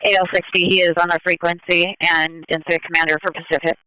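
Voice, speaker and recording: A man's voice: a little high, level tone, quick pace, sharp tone, clean tone, no room sound, rough recording. A man handling police radio traffic in a clipped, procedural manner, heard over a scanner.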